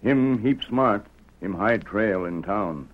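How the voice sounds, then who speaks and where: An actor playing a stoic, loyal scout in an old radio Western, speaking terse, halting broken English with grunts. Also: male voice, lowish voice, expressive. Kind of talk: 1940s Western radio drama acting. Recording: muffled lo-fi old radio recording.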